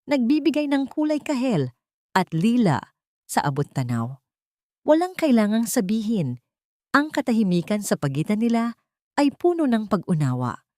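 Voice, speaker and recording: Lowish female narrator reading a dramatic love story, measured storytelling, pretty dry sound. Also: darkish tone, no room sound.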